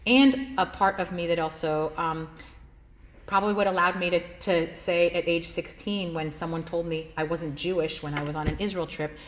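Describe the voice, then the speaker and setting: A woman rabbi telling her life story in a relaxed, conversational way, with many "like" fillers and easy laughs. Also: lowish voice, moderate pace. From United States, Washington State. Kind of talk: personal storytelling talk.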